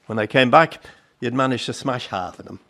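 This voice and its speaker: A man's voice: mid-range voice, brisk, vocal fry, a bit bright. An actor playing an older Irish circus grandfather in a radio drama, plain-spoken and rueful, with a salty turn and a fond heart. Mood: fond, reminiscing. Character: warm, disillusioned old Irish circus grandfather. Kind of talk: naturalistic radio drama acting.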